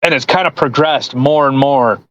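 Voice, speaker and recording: A man's voice: mid-range voice, normal speed, muffled recording. A man hosting a podcast in casual, mocking commentary with crude joking. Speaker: podcast host.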